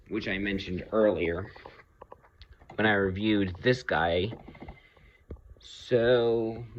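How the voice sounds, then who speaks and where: A man giving an unscripted, casual video review of his hobby collection, rambling a little and pausing mid-sentence. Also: on the low side, a bit bright, muffled recording. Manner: halting, rambling delivery with pauses mid-sentence.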